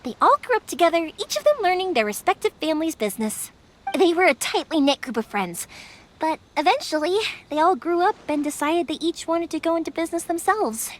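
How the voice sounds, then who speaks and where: High, lively young fireworks maker. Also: female voice, a little fast, a little hiss or hum. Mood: storytelling. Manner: breezy, chatty, expressive. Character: cheerful, bold young woman, fireworks maker. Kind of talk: English video game voice acting. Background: background music.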